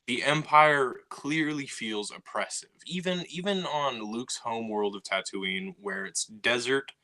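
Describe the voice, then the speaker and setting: A man's voice: medium pitch, unhurried, sharp tone, rough recording. A man chatting casually as a podcast co-host, talkative and full of restarts and "you know". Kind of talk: casual chat.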